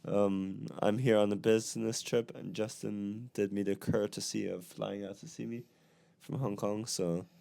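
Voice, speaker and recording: A young man co-hosts a casual podcast chat, his voice hoarse from a cold. His tone is gravelly and fry-heavy, dark and mellow, and it sits low. He talks in a chatty way, full of fillers and quick laughs. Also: fairly even intonation. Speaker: podcast co-host.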